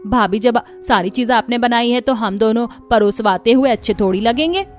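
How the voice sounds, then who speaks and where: A woman's voice: a little high, moderate pace, faint music behind, natural voice. A woman voicing a lazy, cheeky young sister-in-law in a family cartoon. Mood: sly flattering.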